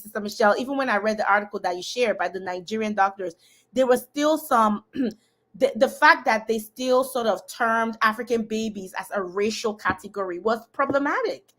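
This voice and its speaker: Animated emphatic woman host. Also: a little high. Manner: animated, emphatic, laughs often, addresses audience as y'all. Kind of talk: casual live-stream discussion.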